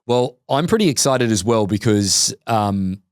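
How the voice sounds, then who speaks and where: A man hosting a podcast interview, eager and quick, often repeating words as he starts a sentence. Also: fairly low pitch, animated, smooth, no room sound, clean studio sound. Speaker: podcast host.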